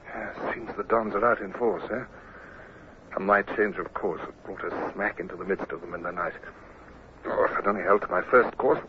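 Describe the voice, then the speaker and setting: A low-pitched British naval captain of the Napoleonic era, played by a male actor, anxious yet decisive. He speaks in clipped orders and hesitant asides. The old radio drama recording is dull and full of noise. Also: normal speed, lightly acted. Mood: worried, musing.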